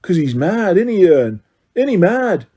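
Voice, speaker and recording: Man doing a high, gossipy bus conductor for an audiobook; excitable chatter. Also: a little slow, breathy edge, heavily acted. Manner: gossipy, breathless, dramatic.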